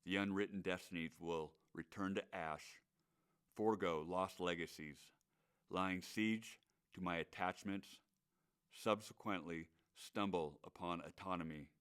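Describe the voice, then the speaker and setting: A man performs spoken-word poetry in a deep, smooth voice. His phrasing is grave and measured, with a very slow pace. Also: steady tone, fairly bright tone. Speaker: poet.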